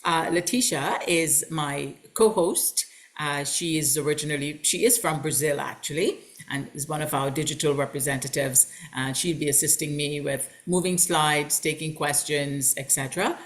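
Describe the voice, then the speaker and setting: A woman's voice: low voice, moderate pace. An upbeat, welcoming woman hosts an online information session for a college. She speaks over a video call mic and fills her sentences with ums and uhs. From Trinidad and Tobago. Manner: upbeat and welcoming, with frequent fillers. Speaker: college recruitment representative and host.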